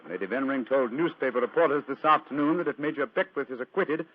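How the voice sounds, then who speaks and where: An actor playing a shrewd, self-assured British gentleman detective in a vintage radio drama, with a crisp theatrical delivery. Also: medium pitch, fast talker, lightly acted. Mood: intrigued. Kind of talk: 1940s radio acting. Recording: narrow, noisy vintage radio recording with clicks.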